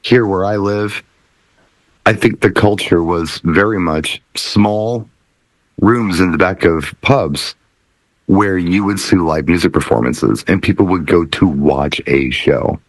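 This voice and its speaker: A man's voice: low voice, slow pace, no echo, poor audio. A middle-aged man who works as a DJ, chatting casually as a panelist on a talk show.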